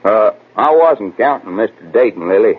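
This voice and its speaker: An actor playing a bashful, nervous, rustic young ballplayer with a hesitant drawl in a 1940s radio drama. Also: male voice, on the low side, animated, normal speed, husky. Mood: folksy, puzzled. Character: folksy young baseball slugger, nervous, superstitious, bashful. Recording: tinny, narrow-band old radio recording.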